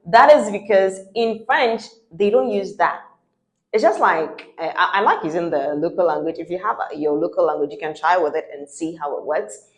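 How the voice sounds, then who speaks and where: Low-voiced Ghanaian woman teaching French. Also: lively intonation, moderate pace. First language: Fante. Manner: patient, repeats examples, checks in with "right?". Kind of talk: explanatory teaching talk. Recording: roomy echo.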